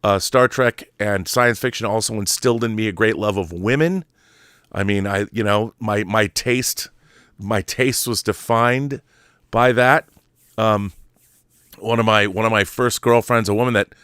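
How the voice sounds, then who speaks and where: A man's voice: on the low side, unhurried, fairly bright tone, clean tone, no echo, studio quality. A middle-aged American man talking casually and opinionatedly, stammering and repeating words, often punching out emphatic rhetorical questions. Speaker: talk show host. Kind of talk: casual opinionated monologue about films and society.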